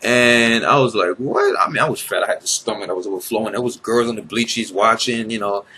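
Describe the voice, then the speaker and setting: A man's voice: fairly low pitch, a little fast, sharp tone, a little hiss or hum. A tired young man from Ivory Coast, a native French speaker, telling a casual story to his viewers with blunt swearing and rambling asides. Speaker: vlogger.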